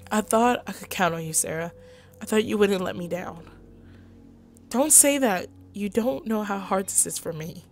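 A woman's voice: medium pitch, moderate pace, slight background noise. A woman gaming co-host with a theatrical, emotive delivery, acting out visual novel dialogue.

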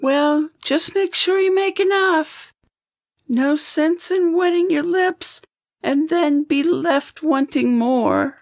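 A woman acts out a blunt, fond old woman for an audio drama. Her voice is creaky and unhurried, recorded dry. She grumbles and runs herself down as she talks. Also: high voice, no room sound. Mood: dryly teasing.

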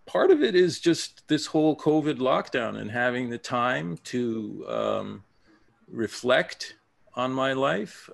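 A man in his fifties from Japan, a playwright talking thoughtfully over a video call, with many pauses and fillers. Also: mid-range voice, vocal fry. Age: 50s. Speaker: playwright guest. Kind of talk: casual interview.

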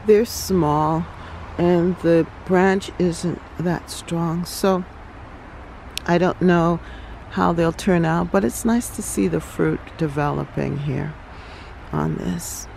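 A woman hosting a relaxed garden tour outdoors, talking reflectively with many pauses and ums. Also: fairly low pitch, creaky, a little hiss or hum, poor audio. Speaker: garden channel host. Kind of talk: casual garden tour vlog.

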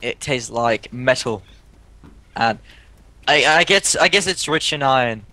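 A man's voice: mid-range voice, expressive, measured pace, bright, forceful tone, no echo. A man from Sweden in casual voice chat during an online game, playful and mock-polite, over a distorted crackling mic. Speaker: gamer on voice chat.

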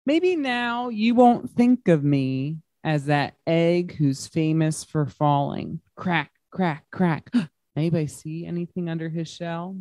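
A man reads a children's story aloud at an unhurried pace. His phrasing is simple and emphatic, made for young listeners, and the sound is dry with little room echo. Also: a little high, a little mellow. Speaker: guest reader at children's story time. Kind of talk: expressive picture-book read-aloud to children. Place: large glass-walled library room.

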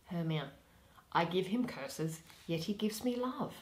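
A young woman reading a play in verse aloud, dramatically and expressively, pausing between phrases. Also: on the low side, lively intonation, darkish tone. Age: young adult. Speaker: reader of a Shakespeare play. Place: small plain room indoors.

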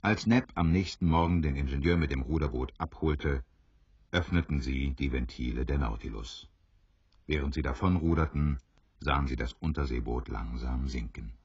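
Very deep, husky male narrator. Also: moderate pace, darkish tone, dry recording, lo-fi sound. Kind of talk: scripted storybook narration for a radio play.

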